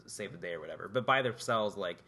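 A man's voice: on the low side, lively intonation, fast, sharp tone. A young man co-hosting a podcast, chatting casually and rambling with lots of filler words. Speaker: podcast co-host. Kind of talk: casual chat.

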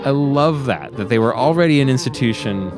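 A man hosting a radio show in casual, unscripted chat, rambling and halting as he talks with enthusiasm. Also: medium pitch, expressive, over music.

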